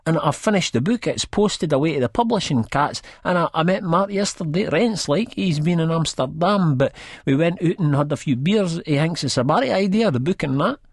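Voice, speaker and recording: An actor playing a rambling, good-hearted, drug-addled man from Leith with a husky Edinburgh accent, telling his story in an audiobook. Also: a little high, expressive, brisk, no echo, studio quality. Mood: excited, pleading. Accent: Edinburgh Scottish. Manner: colloquial, rambling, peppered with "man". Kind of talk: first-person audiobook narration, acted in character.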